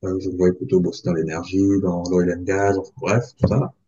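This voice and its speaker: Homme posé à la voix grave et sombre. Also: male voice, sits low, little pitch movement, dark tone, muffled recording. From Republic of the Congo. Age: 40s. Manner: measured, thoughtful, with frequent hesitations. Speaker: guest. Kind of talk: reflective interview talk.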